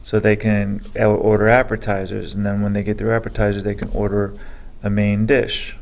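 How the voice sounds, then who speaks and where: A man teaching a programming lesson over a screen recording, speaking slowly and haltingly as he reads code aloud. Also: lowish voice, completely flat delivery, gravelly. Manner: slow, halting, dictates code aloud with many pauses. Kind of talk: screen-recorded coding tutorial.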